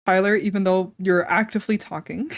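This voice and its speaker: A woman's voice: medium pitch, steady tone, brisk, dry recording, studio quality. A woman streamer chatting casually with her viewers, slightly congested and wheezy, swearing casually and laughing often. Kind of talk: casual live-stream chat.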